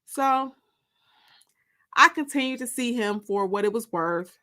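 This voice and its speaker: A woman narrating a first-person story aloud in an expressive, confiding, emphatic way. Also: fairly high pitch, unhurried.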